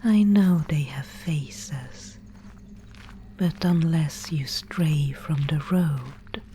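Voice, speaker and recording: A woman voicing a mysterious, solemn Spindlemaid guide in a whispered ASMR dark-fantasy roleplay, with breathy, incantatory, archaic speech. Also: sits low, a little hiss or hum. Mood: calm, ominous. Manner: slow, incantatory, archaic phrasing.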